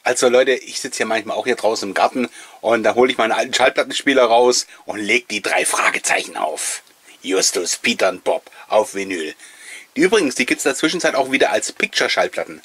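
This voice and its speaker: A man's voice: fairly low pitch, animated, fairly quick, fairly bright tone, slightly rough, no room sound. A middle-aged man giving a casual, nostalgic voice-over commentary, reminiscing with wistful enthusiasm as the host. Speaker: host of a collectors' video channel.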